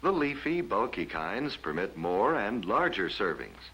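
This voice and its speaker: A man's voice: on the low side, sing-song, fairly bright tone, slightly rough, low-quality recording. An American man narrating a 1950s instructional film from a script in a formal announcer manner, on an old film soundtrack. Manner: formal, measured announcer delivery.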